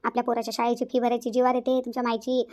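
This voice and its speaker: A woman acts out a long-suffering elder daughter-in-law in a cartoon family story. She speaks in a high, plaintive Varhadi-accented voice, very quickly and repetitively, always shying from conflict. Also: completely flat delivery, a little mellow, natural voice. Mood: aggrieved. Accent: rural Maharashtra, Varhadi. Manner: timid, plaintive, conflict-avoiding. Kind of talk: cartoon family drama acting.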